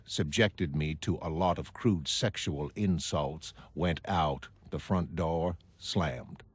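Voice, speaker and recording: Man playing a low, somber, weary psychiatrist. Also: no room sound, exaggerated voice. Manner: measured, somber, brooding. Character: weary, troubled male psychiatrist reading his private notes. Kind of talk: scripted diary narration in an animated motion comic.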